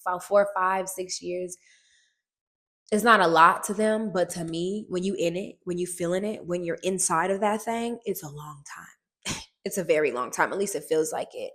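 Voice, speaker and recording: A woman, an actress, sharing a personal testimony to the camera in a casual, animated, emphatic way, recorded on a film set. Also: on the low side, normal speed. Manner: animated, emphatic storytelling, often repeats phrases.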